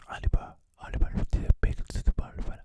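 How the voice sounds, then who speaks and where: An ASMR host speaking in a breathy whisper, with repeated nonsense syllables and mouth sounds, inside a car. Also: racing.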